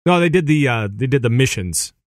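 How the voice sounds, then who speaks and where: A man's voice: medium pitch, animated, brisk, no room sound, studio quality. A man hosting a casual podcast in an easygoing way, with frequent fillers and laughs between thoughts.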